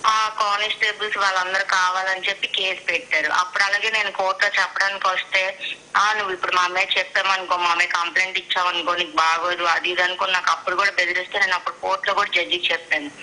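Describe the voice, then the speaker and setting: A woman's voice: lowish voice, level tone, fast, pushed and bright. A young woman on a recorded phone call, recounting events in a plain, insistent way. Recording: tinny phone line recording.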